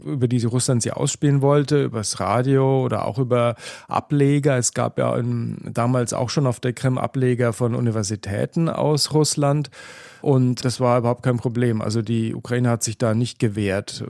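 A man with a gravelly edge to his voice explains things in an unhurried, conversational way. The sound is dry and close, like a radio studio booth. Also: mid-range voice, darkish tone, no room sound. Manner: thoughtful, explanatory, some hesitations. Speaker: reporter interviewed as guest.

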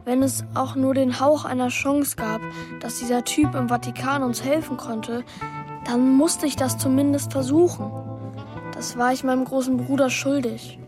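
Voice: high voice, level tone, moderate pace, music in the background, lightly acted. A young performer playing an earnest, worried boy who narrates his own story in a German children's radio drama. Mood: brooding, determined. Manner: earnest, plain-spoken, childlike.

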